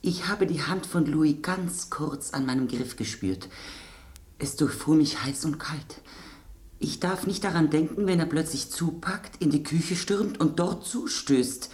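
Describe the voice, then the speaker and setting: A woman's voice: low-pitched, measured pace, darkish tone. A woman in a German radio play voicing an anxious, proud silver table knife with a dramatic, fretful manner. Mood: horrified.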